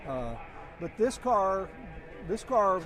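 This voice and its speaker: High-voiced man, halting expert commentary. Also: sing-song, music in the background. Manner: halting, deliberate, emphatic repetitions. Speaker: car expert guest. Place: outdoors at a car show or auction.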